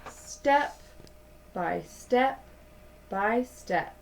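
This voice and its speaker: A woman in her thirties reading a picture book aloud to children like a teacher, with deliberate, dramatic emphasis. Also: a little high, lively intonation, gravelly, a little hiss or hum. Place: small indoor room at home.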